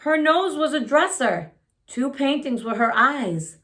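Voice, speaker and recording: Unhurried, high-voiced woman reading a storybook. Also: expressive, fairly bright tone. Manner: asks listeners questions as she reads. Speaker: children's story-time reader. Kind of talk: expressive picture-book read-aloud.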